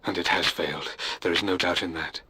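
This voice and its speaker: An actor playing a grieving, obsessed widower of middle age, delivering a solemn journal monologue for a game. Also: male voice, sits low, steady tone, brisk, bright, forceful tone, breathy edge, heavily acted. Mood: defeated, grieving. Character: grieving, obsessive English lord mourning his dead wife.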